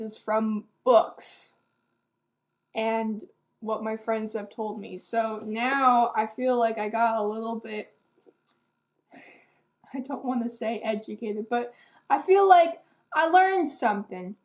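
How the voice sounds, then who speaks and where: An eighteen-year-old woman giving a casual, rambling book review to camera in a candid, self-deprecating, hesitant way. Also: on the high side.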